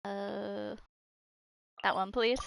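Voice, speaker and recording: A woman's voice: mid-range voice, steady tone, very slow, creaky, highs cut off, noisy background. A woman giving casual live gameplay commentary as a streamer, playful and sweary, often talking to herself. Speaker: video game streamer. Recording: game music behind the voice.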